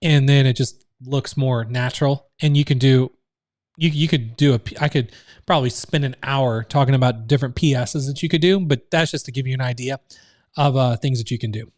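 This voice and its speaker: A man's voice: medium pitch, steady tone, fairly quick, a little mellow, no room sound, clean studio sound. Man casually talking through a live training. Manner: casual, loose, filler-heavy explaining. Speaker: online business trainer. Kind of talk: live screen-share training.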